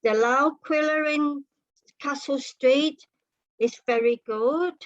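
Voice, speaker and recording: Homely housewife, acted by a female reader: helpful, unflappable, calls strangers love and pet, slow delivery, muffled sound. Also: high voice, dry recording. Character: helpful, chatty, unflappable housewife narrator of a comic story.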